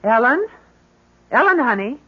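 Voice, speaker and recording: An anxious middle-aged mother in an old radio play. Her pitch moves widely as she frets and coaxes, on a dull recording with faint noise. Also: big pitch swings, a little slow. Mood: anxious coaxing. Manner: hesitant, fretful, coaxing. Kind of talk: old-time radio drama acting.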